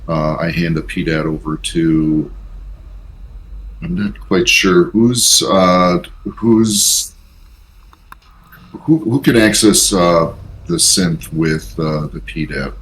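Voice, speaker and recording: Low voiced guy chatting as a player in a tabletop rpg, little bit of noise. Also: slow, pauses often, fairly bright tone, poor audio. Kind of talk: casual game-table talk. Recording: faint background noise.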